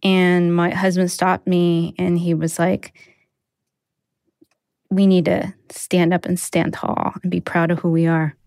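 A woman's voice: on the low side, little pitch movement, slow pace, creaky, a little mellow, no echo, studio quality. An American woman whose first language is Mandarin, telling a personal story in an informal, conversational way. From United States. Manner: informal, sprinkled with "like" and "you know".

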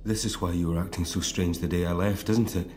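A man's voice: low voice, steady tone, fairly quick, close to an everyday voice. An actor playing a troubled older man pressing for answers in an audio drama. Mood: concerned, questioning. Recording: echoey room, faint music.

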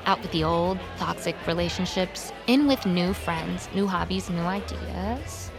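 A woman's voice: on the low side, measured pace, gravelly, low-quality recording. An actress playing an earnest, guarded young woman in an audio drama, with a hesitant, careful way of talking. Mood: earnest, hopeful. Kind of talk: audio drama acting, naturalistic dialogue. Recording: music behind the voice.

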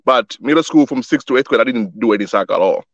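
A man's voice: medium pitch, lively intonation, fast talker, no room sound, dull audio. A young man who was born in Wisconsin and grew up in Tanzania, a guest chatting casually about the youth soccer group he started, often repeating words as he thinks. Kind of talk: casual interview chat.

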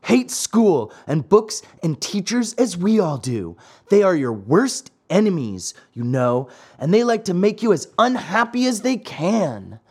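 Man playing a high-voiced schoolboy bully. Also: very animated, unhurried, a little mellow, breathy edge, heavily acted. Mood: persuading. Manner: jeering, scolding. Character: gang of sneering, bullying schoolboys, threatening and teasing.

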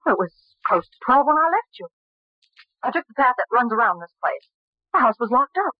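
A woman's voice: a little high, sing-song, fairly quick, dry recording. Anxious, melodramatic woman, old radio. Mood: shaken, evasive. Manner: emotional, stammering when pressed. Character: anxious, defensive young woman under murder suspicion. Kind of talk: old-time radio mystery acting. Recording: dull old radio recording.

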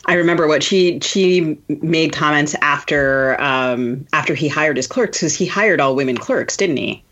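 A woman hosting a podcast, asking interview questions conversationally with tentative, halting phrasing. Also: low-pitched, fairly bright tone, muffled recording.

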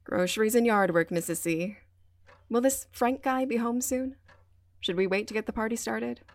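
A young woman with a flat, sarcastic edge, doing her duty under protest, voiced by an actress. Her delivery is grudging and a little snarky. The recording is close and dead dry. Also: fairly high pitch, normal speed, no echo, lightly acted. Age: young adult. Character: sullen young woman doing community service. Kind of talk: audio drama acting.

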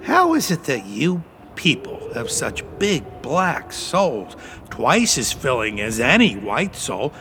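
An actor playing a hungry, sneering supernatural monster who preys on people in a theatrical audio drama. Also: male voice, medium pitch, huge pitch swings, slow pace, background noise, heavily acted. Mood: sneering hunger.

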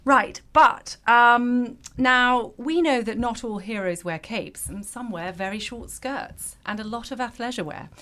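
A woman's voice: on the high side, measured pace, few pauses, fairly bright tone. Chatty self-deprecating woman co-host. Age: middle-aged. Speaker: podcast co-host. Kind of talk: casual chat.

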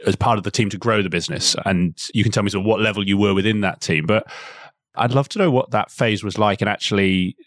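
A man's voice: on the low side, fast talker, no room sound, studio quality. A man hosting a podcast, asking long, winding questions and correcting himself partway through. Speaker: podcast host, interviewer. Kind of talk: conversational podcast interview.

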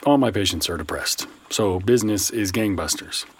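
An actor playing a skeptical, sarcastic therapist and bitter grown son in a science fiction audio drama, with dry, reluctant delivery. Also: male voice, sits low, normal speed. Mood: sarcastic, irritated.

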